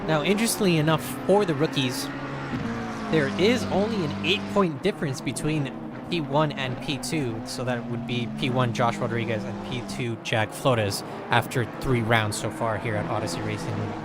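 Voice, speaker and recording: American man, race commentator, casual and chatty, loud car noise underneath. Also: mid-range voice, normal speed. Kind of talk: sim racing commentary. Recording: loud game engine noise behind.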